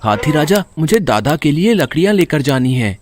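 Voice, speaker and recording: A man voicing a gentle, lonely young orphan boy in an animated story, with an earnest, polite, childlike manner. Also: fairly high pitch, normal speed, noisy background. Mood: friendly, urging. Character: lonely, gentle seven-year-old orphan village boy. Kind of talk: Hindi animated story voice acting.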